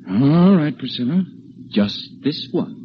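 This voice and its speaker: An actor playing a harried, meek professor husband in 1940s radio drama, hesitant and apologetic. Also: male voice, mid-range voice, expressive, takes it slowly. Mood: harried, pleading. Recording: dull, faintly noisy 1940s radio recording.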